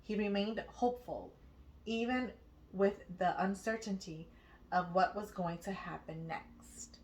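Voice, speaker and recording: A woman narrating a children's storybook aloud, with expressive, deliberate phrasing. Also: medium pitch, poor audio. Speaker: children's book read-aloud host.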